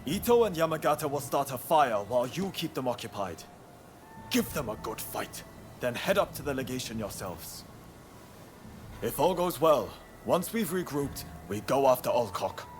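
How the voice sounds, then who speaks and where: A man's voice: medium pitch, expressive, moderate pace, lightly acted. A man playing a brash, defiant samurai rebel commander in a video game cutscene, with a commanding, theatrical delivery. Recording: music behind the voice.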